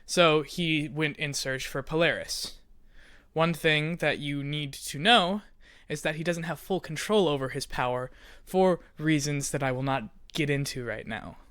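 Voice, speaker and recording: A man's voice: fairly high pitch, a little slow, a bit bright, smooth, dry recording, studio quality. A man hosting and explaining to listeners in a conversational, playful way with theatrical emphasis. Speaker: host, writer and creator of an audio drama.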